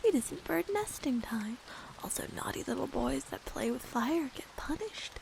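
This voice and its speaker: A woman voicing a defiant old male wizard in a storybook, scolding in a soft whisper. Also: sits high, lively intonation, normal speed, gravelly, exaggerated voice, rough recording.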